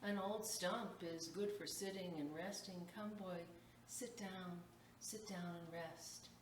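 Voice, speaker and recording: A woman voicing a loving, giving old female apple tree in a children's storybook, tender and gently encouraging. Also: lowish voice, steady tone, slow. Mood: sorrowful, gentle. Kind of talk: children's storybook read-aloud. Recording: echoey room, distant mic, faint noise.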